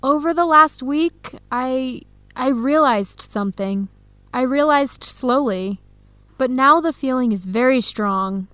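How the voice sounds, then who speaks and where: An actress playing an earnest young researcher who confides carefully and clearly in an English-learning radio drama. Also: female voice, sits high, lively intonation, slow, bright, forceful tone, no room sound, full character voice. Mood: earnest, lovesick.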